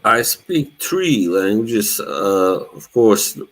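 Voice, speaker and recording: Slow, low-voiced Bosnian-born man. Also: sing-song, fairly bright tone. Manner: slow, laid-back, laughs often. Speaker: live stream host. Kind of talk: casual chat.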